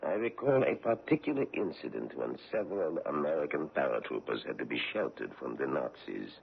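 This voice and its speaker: An actor playing a courteous, probing foreign investigator on the trail of a war criminal, in a 1970s radio drama. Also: male voice, rumbling low, sing-song, fairly quick, gravelly, husky, exaggerated voice. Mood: suave, probing. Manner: courteous, probing, gently menacing. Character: suave middle-aged foreign male war-crimes investigator. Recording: tinny old radio broadcast.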